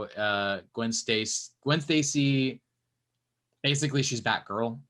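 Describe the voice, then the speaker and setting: A man's voice: mid-range voice, fairly bright tone. A man hosting a casual comics podcast, talking loosely with lots of ums and uhs. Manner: rambling, hesitant, many fillers. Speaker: podcast host.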